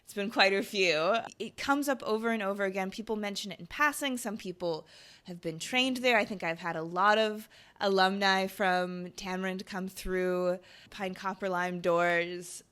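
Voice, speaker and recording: A woman's voice: mid-range voice, moderate pace, a bit bright, smooth. Chatty, enthusiastic woman podcast host. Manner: enthusiastic, chatty, laughs, fillers. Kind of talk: casual interview hosting.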